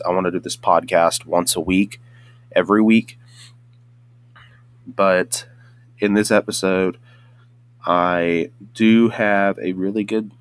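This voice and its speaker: A young man hosting a casual solo podcast, hoarse and a bit congested from just waking up, rambling with many restarts and fillers. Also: sits low. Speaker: podcast host.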